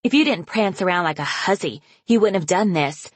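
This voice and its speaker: A woman's voice: on the low side, lively intonation, normal speed, breathy edge, dry recording. A woman voicing a bitter, scornful mother. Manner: snapping, scornful. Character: bitter, resentful middle-aged mother.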